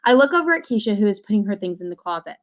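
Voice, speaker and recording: A woman reading a children's novel aloud in an expressive way, acting out the dialogue as she goes. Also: mid-range voice, rapid-fire.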